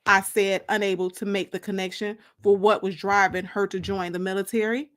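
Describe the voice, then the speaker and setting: A woman's voice: medium pitch, flat delivery, a little fast. An American woman from Detroit hosting a livestream and reading aloud from her own book as its author. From Detroit, United States.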